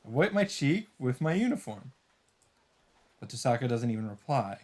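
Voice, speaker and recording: A man's voice: mid-range voice, big pitch swings, normal speed. A man playing through a visual novel, reading its narration aloud and dropping in wry, offhand comments. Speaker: let's player. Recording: loud game music behind. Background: visual novel background music.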